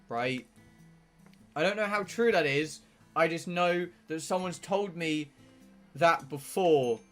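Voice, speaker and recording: A man's voice: fairly high pitch, fairly bright tone. A man from England with a southern English accent, chatting casually and bluntly on a livestream, rambling and swearing freely. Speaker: game streamer.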